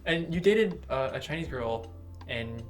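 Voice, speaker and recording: A man's voice: a little high, expressive, faint music behind. A young man co-hosting a casual podcast, teasing his co-hosts and joking between topics. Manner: joking, teasing, wraps up with quick plugs. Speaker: podcast co-host.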